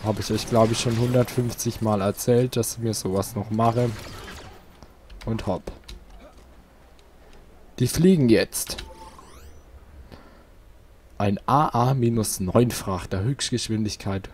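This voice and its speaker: A man's voice: lowish voice, slow, pauses often, a little mellow, a little hiss or hum. A man hosting a casual let's play, chatting to his viewers. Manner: chatty, talks to viewers, asks for likes. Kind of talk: casual gameplay commentary.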